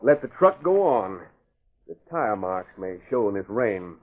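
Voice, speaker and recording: An elderly male crime boss, calm and bossy, his husky voice rising and falling a lot. It is a 1940s radio drama, heard through a tinny old broadcast. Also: on the low side. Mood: commanding. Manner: smooth, bossy, gives quick orders.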